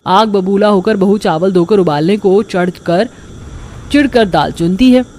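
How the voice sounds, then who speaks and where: A woman's voice: medium pitch, measured pace, a little mellow, a little hiss or hum. A woman reading scripted narration as the storyteller of a cartoon tale.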